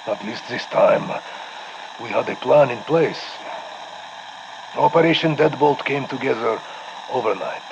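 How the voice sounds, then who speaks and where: Gravelly, weary old Russian soldier. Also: male voice, medium pitch, muffled recording. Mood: weary, brooding. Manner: slow, grave, reflective. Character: weary older Russian ex-Spetsnaz soldier, brooding. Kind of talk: scripted audio-log monologue. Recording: noisy radio audio log.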